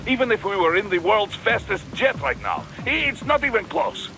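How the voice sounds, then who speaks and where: A man's voice: high voice, big pitch swings, normal speed, over music. A man acting as a pragmatic, worried military adviser with a Russian accent in a video game. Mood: dismayed, emphatic.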